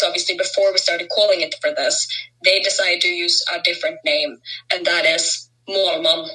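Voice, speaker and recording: A woman's voice: medium pitch, pushed and bright, poor audio. A young woman with a southern Norwegian accent, co-hosting a casual podcast in a chatty, giggly, self-deprecating way. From Norway. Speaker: podcast co-host.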